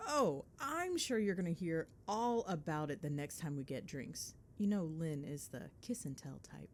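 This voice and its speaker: A woman's voice: low voice, darkish tone, a little hiss or hum. A woman playing a chatty, gossipy girlfriend in a casual ASMR phone-call roleplay, with playful, sweary, dramatic griping. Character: chatty, gossipy girlfriend venting on the drive home.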